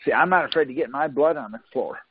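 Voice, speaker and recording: A man's voice: medium pitch, fast talker, husky, no room sound. A man teaching informally on a group call, speaking in a folksy, insistent way and often checking that listeners follow. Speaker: teacher leading a conference call. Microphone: phone conference line. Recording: dull, lo-fi conference call audio.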